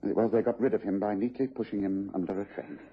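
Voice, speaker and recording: An actor playing a brisk, self-assured English gentleman detective in a radio drama, with precise, authoritative delivery. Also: lowish voice, fairly even intonation, husky. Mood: grave. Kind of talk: BBC radio drama acting. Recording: dull, noisy vintage radio recording.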